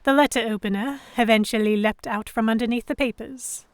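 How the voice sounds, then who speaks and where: Lady voicing an anxious captive young woman telling her story, highish voice, expressive audio drama narration. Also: fairly quick, clear, resonant tone, natural voice. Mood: fearful, desperate. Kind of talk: first-person dramatic scripted narration.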